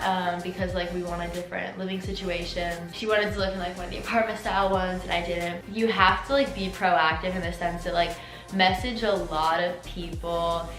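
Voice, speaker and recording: A chatty eighteen-year-old woman talks through viewer questions in a loose, filler-heavy way, her throat a little sore. Her voice carries a noticeable echo off the room, and upbeat music plays clearly underneath. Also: fairly low pitch, fairly bright tone. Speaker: vlogger. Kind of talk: casual Q&A vlog. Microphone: camera mic.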